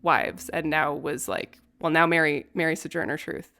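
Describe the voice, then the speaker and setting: A low-pitched young woman co-hosts a chatty podcast. She tells history with dry, profane humor. Her voice sits deep for a woman and stays relaxed and conversational. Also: normal speed, vocal fry. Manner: wry, profane, nerdy about law. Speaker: podcast co-host. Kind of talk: casual storytelling chat.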